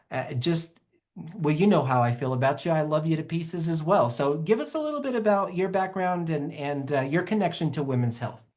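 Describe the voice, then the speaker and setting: Man host, earnest, gushing, stumbling restarts, slightly high voice. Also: a little mellow. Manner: earnest and effusive, halting restarts. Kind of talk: online panel conversation.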